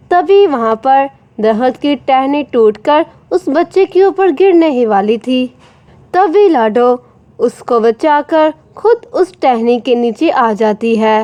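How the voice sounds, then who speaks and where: High, animated woman telling a children's story. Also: no echo, muffled recording. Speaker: narrator. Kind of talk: scripted children's story narration.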